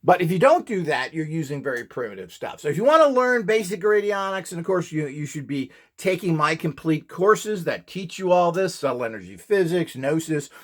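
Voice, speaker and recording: A man with a slightly high voice and very lively, swinging intonation gives an informal instructional demonstration. He is blunt and opinionated, pressing his points with emphasis. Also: a little fast, hardly any pauses. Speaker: instructor selling courses and devices.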